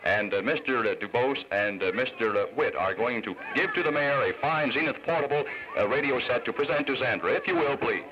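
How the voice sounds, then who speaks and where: A man's voice: mid-range voice, expressive, a little fast, few pauses. A middle-aged American man hosting an outdoor television event, interviewing and announcing in a courteous, formal way. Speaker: television host. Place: outdoor park gathering. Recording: dull 1950s television film soundtrack.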